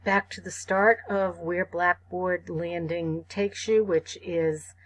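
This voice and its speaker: A woman instructor talking students through an online course site, measured and full of ums and uhs. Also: lowish voice, steady tone. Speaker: college instructor. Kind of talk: screen-recorded course site walkthrough.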